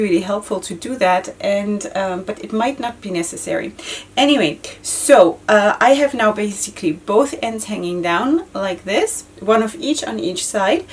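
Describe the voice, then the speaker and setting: Woman, 30s, casual tutorial host, a little hoarse; a bit off-mic with faint hiss. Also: mid-range voice, far from the mic. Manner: chatty, repeats words, frequent fillers. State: losing her voice, occasional cough. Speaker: head-wrap tutorial host. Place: indoor studio with floral backdrop.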